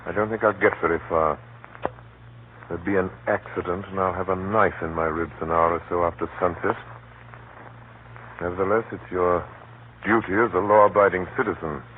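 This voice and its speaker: An actor playing a grave, hunted man in his thirties, speaking deliberately in a 1930s radio drama. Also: deep voice, fairly even intonation, lightly acted. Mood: grave, urgent. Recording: hissy, tinny 1930s radio broadcast.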